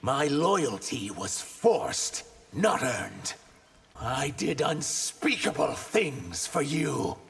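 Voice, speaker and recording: A man's voice: medium pitch, sing-song, drawn-out words, creaky, fairly bright tone, breathy edge, exaggerated voice. A man playing an earnest, vengeful reptilian warrior in a video game, speaking with intense, dramatic defiance. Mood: bitter, angry. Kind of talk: video game character dialogue.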